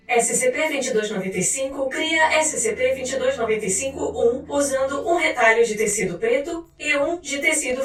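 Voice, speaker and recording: A high-pitched female narrator with a Brazilian accent delivers a formal, clinical document reading. Her voice carries a clear room echo and sits a little way from the mic, over music. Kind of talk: scripted narration of a fictional scientific file. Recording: roomy echo, distant mic, faint music.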